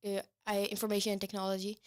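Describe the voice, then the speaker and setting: A teenage girl from Pakistan with a Pakistani accent, a native Urdu speaker, answering radio interview questions quickly and giggling often. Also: medium pitch, completely flat delivery. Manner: quick, giggly, bright and eager. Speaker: exchange student guest.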